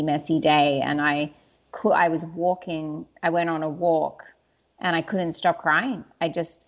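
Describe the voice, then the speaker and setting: A woman speaking in a somewhat low voice, earnest and open. She talks in a reflective, personal way and often stops and restarts her sentences as she searches for words. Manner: earnest and candid, halting with restarts. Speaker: interview guest.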